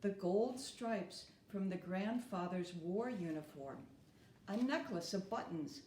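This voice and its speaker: Slow, expressive woman storyteller in echoey room. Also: medium pitch, slight background noise. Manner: deliberate, expressive phrasing. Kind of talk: expressive children's storybook reading. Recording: roomy, echoing room.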